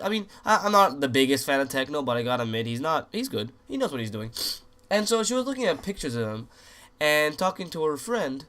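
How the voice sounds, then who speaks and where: A man's voice: mid-range voice, fairly bright tone. Sick young man rambling crudely. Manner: rambling, crude, joking, many restarts. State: sick, voice sounds off. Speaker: gaming commentator. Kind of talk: casual rambling commentary over gameplay.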